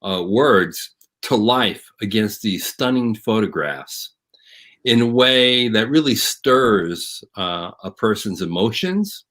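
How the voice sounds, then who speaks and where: Lowish voiced guy as a show guest, measured appreciative commentary, phrase by phrase, conversational. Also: fairly bright tone.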